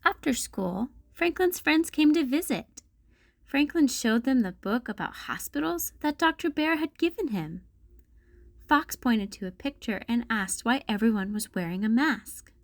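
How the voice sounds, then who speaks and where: A woman narrating a children's storybook as a read-aloud host, in a deliberate storytelling manner. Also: on the high side, animated, dry recording, clean studio sound.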